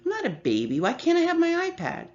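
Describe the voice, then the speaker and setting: A woman's voice: extremely high pitch, huge pitch swings, quick pace, darkish tone, pitched above the performer's own voice, full character voice. A woman voicing a young girl, a whiny and pushy little sister. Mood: whining. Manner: whining, insistent. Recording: slightly muffled with faint hum.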